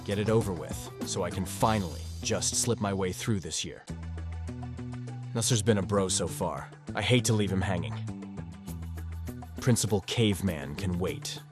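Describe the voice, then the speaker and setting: A man voicing a weary, cynical and awkward high-school student who narrates his own visual novel story in a glum, sardonic way. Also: normal speed, long pauses, lightly acted. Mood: grumbling. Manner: glum, sardonic, self-deprecating. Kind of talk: first-person scripted visual novel narration. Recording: music under the voice.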